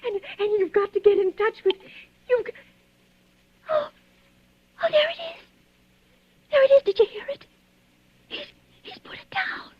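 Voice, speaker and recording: A frantic, demanding invalid woman in an old radio thriller. Her very high voice stammers and pleads breathlessly, on a dry, muffled vintage broadcast recording. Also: lively intonation, measured pace, long pauses, no room sound. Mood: terrified, pleading. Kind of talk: 1940s radio acting.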